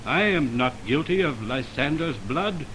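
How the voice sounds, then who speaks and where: A man's voice: mid-range voice, lively intonation, a little slow, a bit bright. A man playing an ardent, spurned young lover, declaiming florid verse in a 1920s radio Shakespeare drama. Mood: bitter. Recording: noisy 1929 radio aircheck from optical film.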